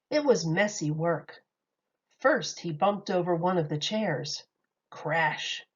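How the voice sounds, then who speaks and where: Teacher reading a picture book to kids: a woman, expressive and unhurried, slightly dull recording. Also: on the low side. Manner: expressive, lively read-aloud.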